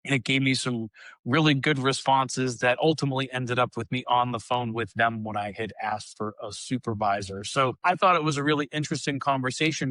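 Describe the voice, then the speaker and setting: A man's voice: mid-range voice, fairly bright tone, no room sound. A man hosting an interview podcast, upbeat and chatty, praising his guests. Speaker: podcast host.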